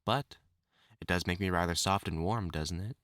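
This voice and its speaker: A man's voice: deep voice, moderate pace, heavy background noise, natural voice. A man playing an obsessive, lovesick furred supervillain in a dramatic audio roleplay, speaking intimately to the listener with theatrical endearments. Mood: resigned, menacing tenderness. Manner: pleading, stammering, theatrical endearments. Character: obsessive lovesick male supervillain with fur, desperate and menacing.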